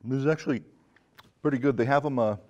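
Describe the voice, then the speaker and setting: Mid-pitched man hosting a casual chat. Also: fast, darkish tone, lo-fi sound. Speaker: film podcast host.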